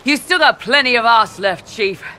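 A man's voice: very high-pitched, lively intonation, normal speed, bright, forceful tone, slight background noise, heavily acted. A man voicing a cheeky, teasing young soldier and loyal lieutenant in a video game. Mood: wry, eager. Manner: wry, teasing. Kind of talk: video game dialogue acting.

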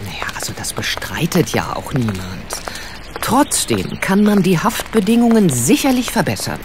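A woman's voice: on the low side, very animated, normal speed, background noise. An actress playing a patient, teasing older lady in an audio drama, well-spoken and playfully ironic. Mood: earnest. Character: patient, teasing widowed lady, charitable friend of sleuth. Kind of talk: German audio drama acting.

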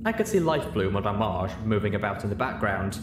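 A man with a fairly low voice narrates a story as if for an audiobook. His intonation is animated and moves around a lot, giving an expressive read. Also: a little fast, background noise.

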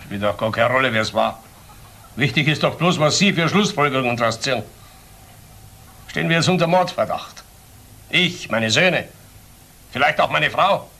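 An actor playing a bitter, defiant middle-aged father in a radio crime drama, speaking in emphatic broken phrases with pauses. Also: mid-range voice, expressive, gravelly, bright, forceful tone. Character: bitter, defiant father of grown sons under suspicion.

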